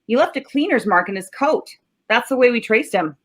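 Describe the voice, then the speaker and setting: A woman acts out a stubborn homicide cop in a 1940s radio play. The voice sits fairly high for all its tough, slangy bluster. The delivery is sardonic and unimpressed. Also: animated, brisk, a bit bright.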